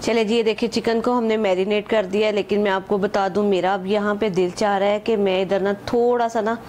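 A woman's voice: mid-range voice, steady tone, brisk, a little mellow, a little hiss or hum. A woman, explaining a home recipe step by step in a chatty way and repeatedly pointing things out to the viewer. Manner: chatty, repeats "acha ji" and "ye dekhen", mixes English measures into Hindi-Urdu. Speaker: home cooking channel host. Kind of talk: casual step-by-step cooking instruction.

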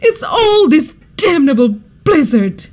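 Woman playing a high-pitched, melodramatic society hostess. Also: very animated, takes it slowly, a little mellow, full character voice. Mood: outraged, wailing. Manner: melodramatic, exclamatory, scolding. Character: imperious, frantic society hostess and mother, easily outraged. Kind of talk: radio drama acting.

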